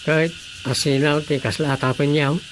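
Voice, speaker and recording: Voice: mid-range voice, level tone, normal speed, lightly acted. An actor with an Ilocano accent playing a plain-spoken village councillor in a radio drama comedy. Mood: matter-of-fact. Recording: noisy radio drama track with ambience.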